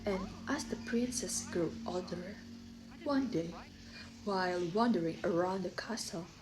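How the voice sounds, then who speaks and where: A woman's voice: mid-range voice, animated, measured pace, over music, low-quality recording. A young woman giving a scripted storytelling presentation as a student.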